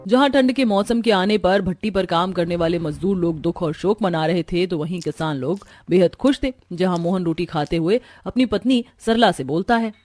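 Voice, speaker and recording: A woman's voice: lowish voice, moderate pace, hardly any pauses, darkish tone, no echo, soft background music. A woman reading scripted narration for a moral cartoon story as its storyteller.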